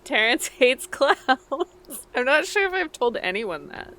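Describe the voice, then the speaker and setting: A woman's voice: sits high, sing-song, measured pace, a bit bright. A woman playing a tabletop roleplaying game, casually narrating what her character does. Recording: faint noise behind voice.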